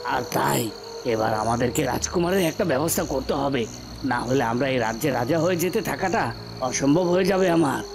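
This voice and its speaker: A man's voice: mid-range voice, moderate pace, vocal fry, over music, lightly acted. A man voicing a scheming usurper villain in a fairy tale cartoon, commanding and self-assured. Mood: scheming, confident. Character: scheming usurper villain plotting against the prince.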